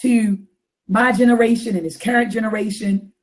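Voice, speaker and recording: A woman hosting a casual talk show, her deep voice raspy and hoarse after coughing, repeating phrases for emphasis. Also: steady tone, moderate pace. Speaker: host.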